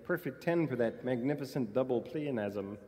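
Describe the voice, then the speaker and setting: A man's voice: medium pitch, expressive, normal speed, warm tone, lightly acted. A man voicing a prissy, pompous pedant who lectures with exact diction. His voice sits mid-range in a reverberant live hall. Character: fussy pedantic male language stickler. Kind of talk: radio comedy acting before a live audience.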